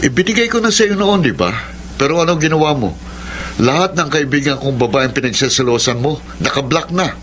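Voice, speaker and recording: A man's voice: fairly high pitch, expressive, brisk, fairly bright tone, a little hiss or hum. An actor playing a weary, exasperated young Filipino man fed up with his jealous girlfriend in a radio drama. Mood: exasperated, arguing. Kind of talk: Filipino radio drama acting and letter narration.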